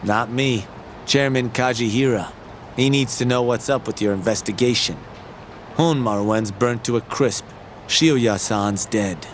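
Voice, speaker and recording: An actor playing a sly, knowing journalist informant in a video game, sardonic under surface politeness. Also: male voice, mid-range voice, measured pace, slight background noise, lightly acted. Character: sly, smooth-talking male journalist informant, casually knowing. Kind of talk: video game cutscene dialogue. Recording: music behind the voice.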